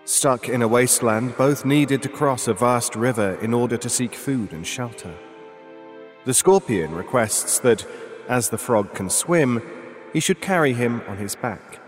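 A man's voice: medium pitch, measured pace, natural voice. Solemn storyteller man in long, cavernous reverb. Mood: grave, measured. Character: grave male storyteller telling a dark fable. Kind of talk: scripted dramatic narration. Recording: long reverberant echo over music. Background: music.

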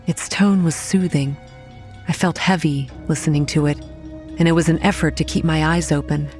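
Actress as haunted young woman, first-person horror audio drama narration, dazed, measured, introspective, faint music behind. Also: low voice, fairly even intonation, a little mellow, natural voice. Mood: brooding, wary. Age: young adult.

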